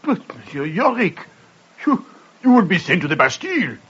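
A man's voice: high voice, sing-song, normal speed, fairly bright tone, husky, dull audio, full character voice. An actor playing an anxious, insistent Frenchman in an old radio drama. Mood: fretful. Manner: fretful, insistent.

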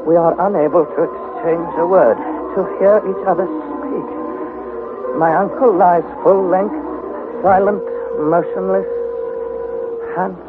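An anxious young explorer telling his sea journal in a dramatised narration. His voice is fairly high, theatrical and intense. It comes through a tinny narrow band with clear noise behind it. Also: steady tone, slow. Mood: weary, grave.

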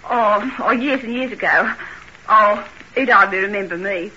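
An actress playing a guarded, bitter young working-class housekeeper with a brusque, slangy in old radio drama style. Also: female voice, medium pitch, moderate pace, pushed and bright, lightly acted. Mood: wistful. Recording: muffled noisy old radio recording.

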